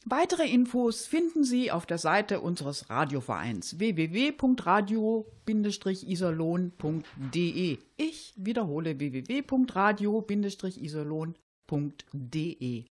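A woman's voice: fairly low pitch, big pitch swings, measured pace, a little mellow. A woman presenting a scripted, tongue-in-cheek radio show as host.

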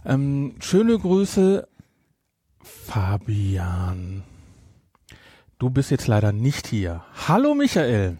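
A man hosting a podcast in casual chat, often halting and correcting himself as he goes. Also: medium pitch, huge pitch swings, dark tone, husky, no room sound, clean studio sound. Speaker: podcast host.

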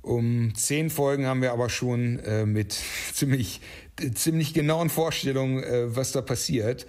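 A man's voice: mid-range voice, unhurried, darkish tone. A man answering interview questions conversationally as the creator of an audio drama project.

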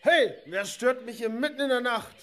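An amateur performer playing a gruff, greedy male circus director who barks brusque threats in a children's radio play. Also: mid-range voice, animated, moderate pace, a bit bright, soft background music, lightly acted. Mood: angry, threatening. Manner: barking, brusque.

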